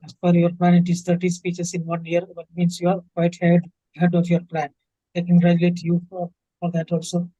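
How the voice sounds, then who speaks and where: A man with an Indian accent giving instructive feedback as a speech evaluator, halting and repeating his phrases. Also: high voice, completely flat delivery, dark tone, dry recording. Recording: dull online meeting audio.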